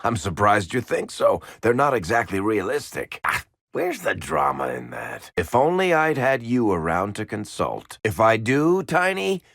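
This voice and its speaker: A roguish dwarf from a fantasy video game, speaking low in a close, dry recording. He is sardonic and affable, a storyteller who teases casually, and his pitch moves freely from line to line. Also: sing-song, unhurried, creaky, fairly bright tone, dry recording, full character voice. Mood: teasing. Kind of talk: video game character dialogue.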